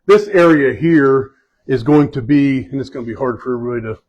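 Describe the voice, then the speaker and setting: A fire chief leading a group around a building indoors, speaking loudly in a casual, halting way with many fillers and restarts. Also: male voice, medium pitch, lo-fi sound. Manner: loud voice. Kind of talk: casual spoken tour guiding.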